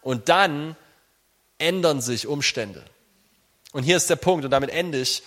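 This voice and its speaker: Male preacher, higher-pitched voice, animated and conversational, informal sermon delivery, long hall reverb. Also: unhurried. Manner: animated, conversational, addresses listeners directly.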